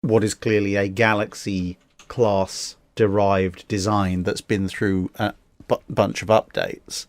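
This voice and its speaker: A man talking casually as a guest commentator, giving opinions in a measured, analytical way. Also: fairly low pitch, little pitch movement, no room sound. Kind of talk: casual discussion.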